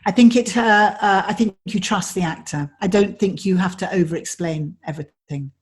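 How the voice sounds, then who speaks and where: A woman being interviewed as an actor and director, chatting reflectively with a slightly husky voice. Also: mid-range voice, moderate pace.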